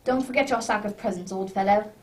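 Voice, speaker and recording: A woman playing a wry, urbane English gentleman detective in a radio drama, teasing and precise in old-fashioned phrasing. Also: mid-range voice, fast talker, lightly acted. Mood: unruffled, wry. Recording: distant microphone.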